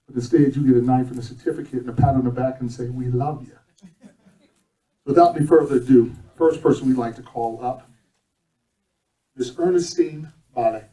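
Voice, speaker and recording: A man's voice: medium pitch, fairly even intonation, moderate pace, long pauses, warm tone. A man who is a chef instructor, hosting a graduation ceremony with jokes and self-corrections before calling names formally. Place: event hall. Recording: echoey room from far off, audience behind.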